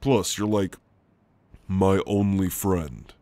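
Man playing a deep, slow, gentle shadow demon. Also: no echo. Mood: tender, earnest. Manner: soft, halting, playful, reassuring. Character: gentle, teasing male sleep paralysis shadow demon. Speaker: ASMR roleplay performer. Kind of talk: ASMR roleplay, intimate and slow. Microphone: close, dry microphone.

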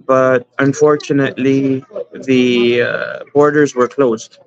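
A man in his thirties speaks over a video call from a room at home, earnest and measured, pausing with fillers between phrases. His voice stays flat in pitch. The audio is dull and lo-fi. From Canada. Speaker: imam, guest. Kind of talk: interview answers.

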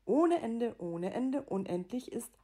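A mellow, slightly high female voice of a woman acting as a scheming wife in a radio play. She is bossy, greedy and stubborn. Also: very animated, slow pace, full character voice. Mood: stubborn, irritated. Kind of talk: amateur audio drama acting.

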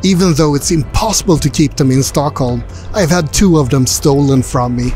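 A man's voice: fairly high pitch, normal speed, darkish tone, soft background music. A man in his forties vlogging in a busy indoor car expo hall, chatty and upbeat, asking visitors questions through a handheld mic. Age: 40s. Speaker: travel vlogger. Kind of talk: casual vlog with voice-over narration. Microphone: handheld furry mic close to the face.

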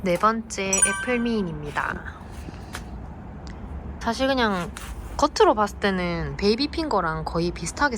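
Gentle, unhurried vlogger woman. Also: mid-range voice. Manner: gentle, unhurried, with small hesitations. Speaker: succulent vlogger. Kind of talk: casual vlog narration. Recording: noisy home recording.

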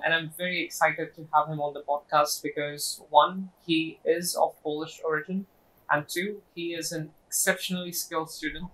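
A young man hosts a casual podcast from a small office, speaking into a lapel mic. His voice sits a little high and sounds bright, with a fairly even, level delivery. He asks friendly questions at a measured pace, with the odd filler. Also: low-quality recording. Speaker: podcast host.

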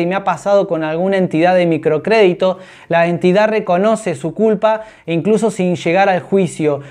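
A man's voice: high voice, a little mellow. Earnest man in his thirties. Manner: earnest, explanatory, gestures with hands. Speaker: personal finance vlogger. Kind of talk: direct-to-camera advice. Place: small indoor room, orange wall.

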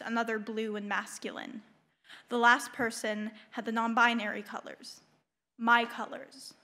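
A woman's voice: fairly high pitch, a bit bright. A young woman delivering a prepared speech into a podium microphone in an auditorium. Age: teens or young adult. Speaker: student public speaker at a school board meeting. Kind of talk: prepared speech read aloud. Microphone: gooseneck podium microphone.

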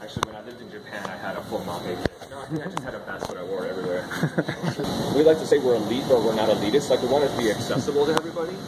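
A man's voice: lowish voice, rapid-fire. A man in his thirties, co-owner of a clothing factory, giving a casual walk-and-talk tour and saying "dude" and "like" a lot. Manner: laid-back, says "dude" and "like" a lot. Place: large indoor workshop with sewing machines. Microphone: handheld camera following him. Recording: echoey warehouse with loud background noise.

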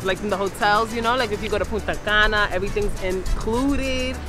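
A woman's voice: medium pitch, fairly quick. A young woman from New York giving short, blunt answers in a casual beach interview. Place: sunny beach outdoors. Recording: music playing under the voice.

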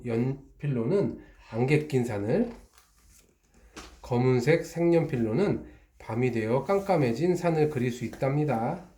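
A man reading a storybook aloud voices an excitable fox who runs a shop, talking with jumpy exclamations that leap into high squeals. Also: close to an everyday voice. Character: fussy, excitable fox shopkeeper. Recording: echoey room.

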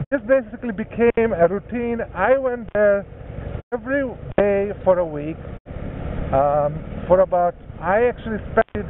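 A man in his thirties telling a long story while riding a scooter, rambling and correcting himself as he goes. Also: sits high. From Hungary. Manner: rambling, self-correcting, conversational. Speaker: motovlogger. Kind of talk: casual storytelling monologue. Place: riding a scooter on city roads outdoors. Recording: tinny narrow-band audio with faint road noise.

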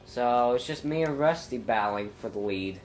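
A young man with a stuffy nose and a clogged throat, commenting casually on his own racing game and thinking aloud with ums and sudden loud exclamations. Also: mid-range voice, animated, normal speed, soft background music, low-quality recording. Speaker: gameplay commentator. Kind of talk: casual let's-play commentary.

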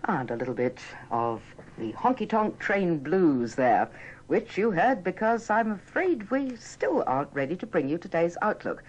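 A British woman announces live radio in a deep, lively, varied voice. She is polite and apologetic, and the archive recording sounds dull. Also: normal speed, breathy edge. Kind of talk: live radio presenting, ad-libbed links.

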